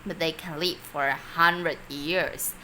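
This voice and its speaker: A woman voicing a shy, agreeable storybook gentleman with a polite, solemn manner. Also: deep voice, fairly even intonation, takes it slowly, a little hiss or hum, full character voice, rough recording.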